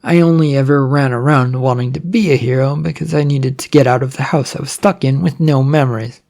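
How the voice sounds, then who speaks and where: A man's voice: mid-range voice, steady tone, brisk, creaky, a little mellow, natural voice. A man voicing a weary, sarcastic would-be hero in a story, wry, hesitant and rambling. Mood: bewildered.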